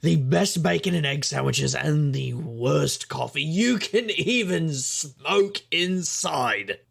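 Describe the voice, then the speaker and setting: An actor playing a hard-drinking, roguish private investigator in a noir audio drama, gruff and husky, with wry, world-weary wisecracks. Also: male voice, a little high, expressive, takes it slowly, heavily acted. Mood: roguish enthusiasm. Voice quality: gravelly, husky.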